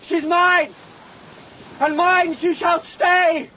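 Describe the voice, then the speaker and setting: A man's voice: extremely high pitch, steady tone, extremely slow, pushed and bright, a little hiss or hum, exaggerated voice. A man playing an anxious, devoted young husband in a radio drama, impassioned and protective, moving between tenderness and alarm. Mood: alarmed, defiant. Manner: impassioned, swinging from tender to frantic.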